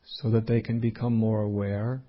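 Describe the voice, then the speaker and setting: A man leading a guided meditation as a teacher, speaking unhurriedly in short phrases with long pauses. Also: on the low side, flat delivery, highs cut off.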